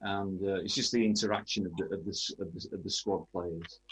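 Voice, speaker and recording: A man's voice: deep voice, steady tone, brisk, slightly rough, poor audio. A man interviewing in a chatty sports radio style, hesitantly rephrasing his questions as he goes.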